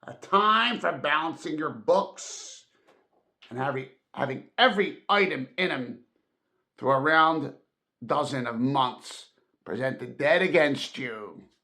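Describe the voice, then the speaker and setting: A man voicing a grumpy, scornful old miser uncle in a gruff, indignant and emphatic manner, as in a storybook reading. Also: mid-range voice, slow, bright, forceful tone, full character voice. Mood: indignant, stern.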